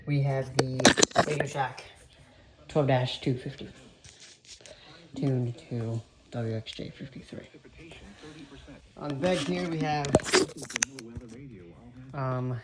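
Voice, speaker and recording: A man giving an informal, halting show-and-tell narration as a radio hobbyist. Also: medium pitch. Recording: clearly noisy with clicks. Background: weather radio playing.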